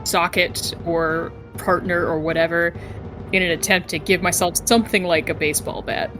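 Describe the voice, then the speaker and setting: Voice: medium pitch, fairly even intonation, normal speed, over music. A person talking casually as a player in a tabletop role-playing game.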